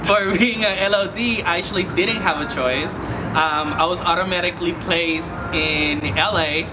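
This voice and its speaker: Laughing, stammering man, high voice. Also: normal speed, distant mic, background noise. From United States. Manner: laughs often, repeats words as he starts sentences. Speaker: guest, flight attendant trainee. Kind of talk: casual chat.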